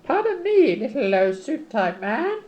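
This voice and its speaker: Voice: medium pitch, sing-song, measured pace, highs cut off, slight background noise. An amateur performer voicing an oily, wheedling comic schemer in an exaggerated radio-play reading, recorded on old cassette tape. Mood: cheeky.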